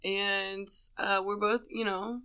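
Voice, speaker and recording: A young American woman co-hosts a relaxed podcast conversation with a friend. Her delivery leans a little monotone, yet the tone is bright and forward. She speaks in an informal, everyday way. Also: slow, clear, resonant tone, dull audio. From United States. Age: 20s. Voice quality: deep, somewhat monotone voice. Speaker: podcast co-host. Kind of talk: casual chat between friends.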